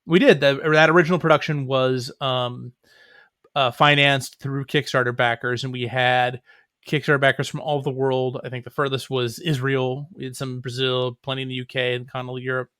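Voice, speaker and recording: A man's voice: mid-range voice, flat delivery, fairly quick, a bit bright, smooth, no echo, clean studio sound. A middle-aged man chatting casually as an interview guest, with a wry, joking manner. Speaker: comics writer and playwright, interview guest.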